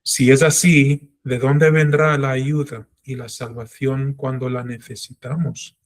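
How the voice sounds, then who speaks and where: A man's voice: medium pitch, little pitch movement, measured pace. A middle-aged man teaching a Torah class in an earnest, self-reflective way, drawing on his own life. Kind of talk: religious class lecture.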